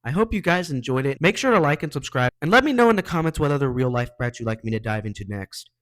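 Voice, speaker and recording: A man's voice: medium pitch, very animated, quick pace, fairly bright tone. A man hosting a reaction video with casual, slangy, opinionated commentary in an exasperated manner.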